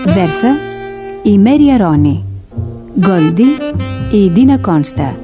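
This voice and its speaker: Female radio announcer over loud music. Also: mid-range voice, big pitch swings. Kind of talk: formal scripted credits reading.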